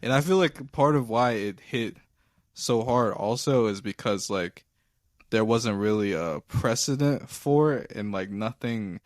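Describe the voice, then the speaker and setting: A man co-hosting a casual talk show, soft-spoken and hesitant, often trailing off. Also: fairly low pitch, measured pace, dry recording, clean studio sound. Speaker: podcast co-host. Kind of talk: casual chat about anime.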